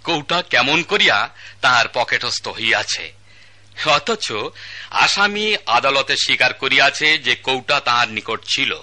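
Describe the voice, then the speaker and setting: An actor playing a stern magistrate who reads out the charges and the sentence in formal, old-fashioned court language. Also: male voice, medium pitch, expressive, normal speed, pushed and bright. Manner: formal, archaic court diction, calls order. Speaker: judge. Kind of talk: Bangladeshi state radio drama acting.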